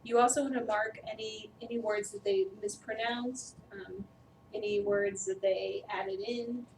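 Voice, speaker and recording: A woman with a naturally quiet voice leading a staff training session, explaining steps casually with lots of fillers. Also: medium pitch, fairly even intonation, a little slow. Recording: distant mic, noisy room.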